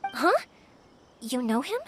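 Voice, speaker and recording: An actress voicing a cheerful, energetic young fireworks maker in an anime-style video game, perky and earnest. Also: female voice, high-pitched, takes it slowly, background noise. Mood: hesitant.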